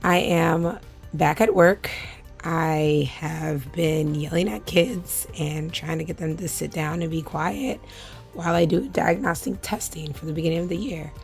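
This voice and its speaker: A woman, talking casually to her viewers in a hoarse, scratchy voice, with plenty of fillers. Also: deep voice, a little slow, soft background music. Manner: chatty, with frequent fillers. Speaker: vlogger. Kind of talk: casual chat.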